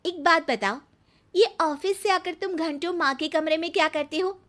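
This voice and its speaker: Woman acting a high-pitched, suspicious young daughter-in-law. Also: normal speed, a bit bright. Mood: polite. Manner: sugary to elders, snide in asides. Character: suspicious, sharp-tongued young daughter-in-law, privately resentful. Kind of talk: acted Hindi family moral story.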